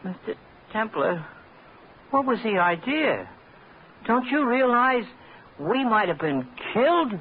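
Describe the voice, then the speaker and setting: High, excitable dim gunman. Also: takes it slowly, long pauses, phone-quality sound, heavily acted. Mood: dazed, aggrieved. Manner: whining, panicky, blustering. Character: dull-witted, excitable gunman henchman, easily frightened. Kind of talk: 1940s-50s radio drama acting. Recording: noisy, thin old radio, car sounds behind.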